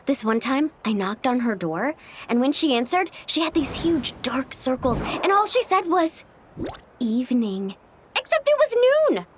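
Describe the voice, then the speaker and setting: A woman playing a breezy, playful young travel guide in an animated video game. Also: sits high, unhurried, background noise. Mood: amused.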